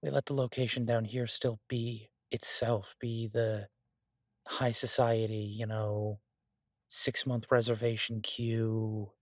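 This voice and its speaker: Husky, casual boyfriend chef played by a man. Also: mid-range voice, no echo, studio quality. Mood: hopeful, dreamy. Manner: casual, halting, reassuring. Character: easygoing, earnest boyfriend, a chef in a fantasy world. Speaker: audio roleplay performer. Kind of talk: intimate one-sided audio roleplay, conversational.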